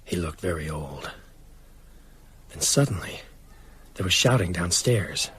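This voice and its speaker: An actor playing a sombre survivor recounting a horror story in measured, grave first-person narration. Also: male voice, deep voice, animated, fairly bright tone, slight background noise. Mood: sombre, haunted.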